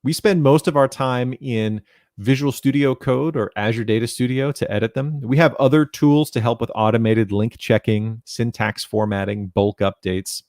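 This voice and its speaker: A man's voice: mid-range voice, a little mellow, dry recording. Man presenting, dry close sound. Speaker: presenter, documentation content developer. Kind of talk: technical presentation, explanatory. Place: small indoor room, home video call. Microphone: headset.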